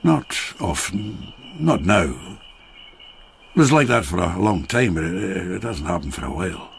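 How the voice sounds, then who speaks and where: An actor playing a widowed peasant farmer, boisterous and fond of drink, who rambles jovially and turns reflective in a radio drama. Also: male voice, deep voice, big pitch swings, measured pace, creaky, slight background noise, heavily acted. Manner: jovial, rambling, slightly tipsy. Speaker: Takeshi, a farmer friend.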